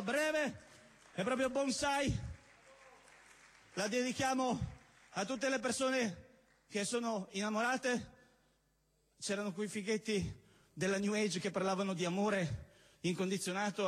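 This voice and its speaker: A man performing theatrical spoken-word poetry on stage, declamatory, emphatic and irreverent, with insistent repetition. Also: high voice. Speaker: performance poet. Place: live on stage at a cultural venue event.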